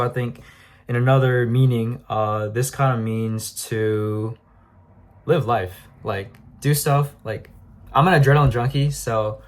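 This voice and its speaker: A man in his early twenties giving a casual talking-to-camera reflection, rambling with many fillers and restarts and laughing at his own slips. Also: mid-range voice. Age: 20s. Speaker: vlogger.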